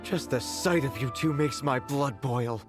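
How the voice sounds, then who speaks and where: An actor playing an upright, earnest townsman who is quick to indignation, in a video game. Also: medium pitch, music in the background, lightly acted. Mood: indignant. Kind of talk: video game story dialogue.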